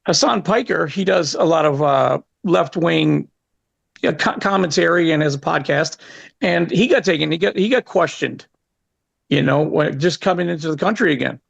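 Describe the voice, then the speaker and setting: Halting man with a Chicago accent. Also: a little high, vocal fry. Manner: halting, hesitant, many fillers. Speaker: guest, content creator. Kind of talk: casual panel chat. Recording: dull lo-fi sound.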